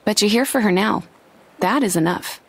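A woman playing a warm, gracious village doctor in a video game, speaking in a reassuring way. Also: medium pitch, normal speed, noisy background, close to an everyday voice.

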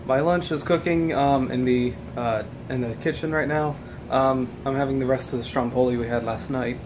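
A man's voice: medium pitch, fairly even intonation, normal speed, background noise. A young man vlogging his day to a camera held at arm's length, stuffed up from allergies, rambling with many ums and restarts. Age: young adult. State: congested from allergies. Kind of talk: casual daily vlog. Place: indoors in a house, also outdoors by the house. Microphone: handheld camera at arm's length.

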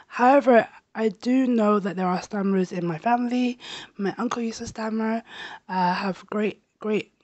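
A woman in her thirties, talking to camera as a travel vlogger. She speaks casually, stammers with repeats and restarts, and sounds a bit raspy from getting over a sore throat. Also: mid-range voice, moderate pace, a little mellow, no room sound, dull audio. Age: 30s. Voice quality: slightly raspy. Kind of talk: casual personal talk to camera. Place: small bedroom, indoors. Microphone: handheld lapel mic held near the mouth.

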